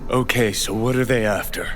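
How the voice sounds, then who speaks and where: Cyberpunk game rocker , male actor: brash, impatient, sardonic, medium pitch, prominent music underneath. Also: fairly even intonation, normal speed, fairly bright tone, lightly acted. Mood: scornful, determined. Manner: sardonic, swaggering, curt. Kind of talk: video game drama acting. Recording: game audio with music behind.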